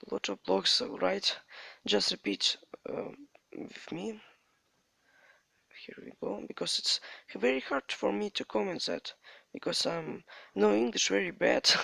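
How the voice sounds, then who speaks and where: A Ukrainian-accented man with a native Ukrainian speaker's halting English, walking through a game tutorial. His voice is heavily gravelly and fried, bright and sharp in tone, and swings widely in pitch. He speaks slowly, in a dry, close sound. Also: dry recording. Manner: halting non-native English, repeats stock phrases. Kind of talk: step-by-step gameplay tutorial narration.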